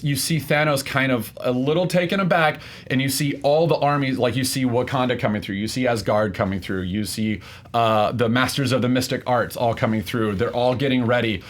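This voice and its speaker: Man, mid-range voice, debate contestant, unscripted, passionate, halting, emphatic, repetitive build-up. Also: medium pitch. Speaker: contestant in a film debate show.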